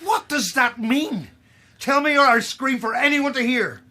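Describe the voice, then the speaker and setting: A man's voice: very high voice, lively intonation, measured pace, sharp tone, exaggerated voice. An actor with an Irish accent playing an anxious, protective and suspicious man in an audio horror drama. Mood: suspicious, distressed. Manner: fretful, insistent. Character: anxious, protective male companion, exasperated and suspicious.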